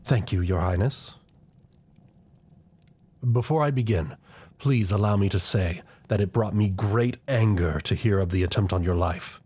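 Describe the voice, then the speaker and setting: A man playing a loyal, scheming older royal chancellor in a fantasy audio drama, speaking in formal, measured, deferential courtly phrases. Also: on the low side, warm tone, husky. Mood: deferential, solemn. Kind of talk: scripted fantasy audio roleplay acting.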